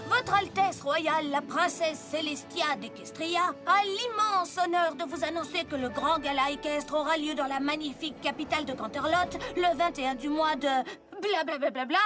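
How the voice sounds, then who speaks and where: A woman's voice: very high voice, moderate pace, music in the background. A woman voicing a cheeky young boy sidekick in a French children's cartoon dub, flippant and theatrical. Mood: pompous announcing. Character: cheeky young male child sidekick, bored by frilly things.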